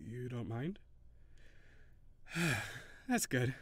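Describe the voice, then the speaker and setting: A man playing a cheery, shy, flirty kitsune barista in an intimate audio roleplay, speaking hesitantly and giggly, with gentle encouragement. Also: mid-range voice, very animated, drawn-out words, breathy edge, no echo, full character voice. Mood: warm, encouraging.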